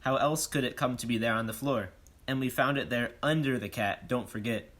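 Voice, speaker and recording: An actor playing a bold, self-important police detective, declaiming in rhyme in a comic audio drama. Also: male voice, medium pitch, a little fast, fairly bright tone, natural voice. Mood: puzzled, deducing. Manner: declamatory, questioning, speaks in rhyme.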